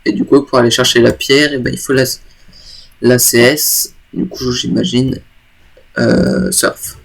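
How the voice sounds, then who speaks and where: A man at home giving loose, joking commentary over a game, often stopping to correct himself. His voice sits at an ordinary pitch with a lot of gravelly fry. A cold leaves it stuffy. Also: steady tone, unhurried. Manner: rambling, self-correcting, joking. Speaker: gaming YouTuber. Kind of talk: casual let's play commentary.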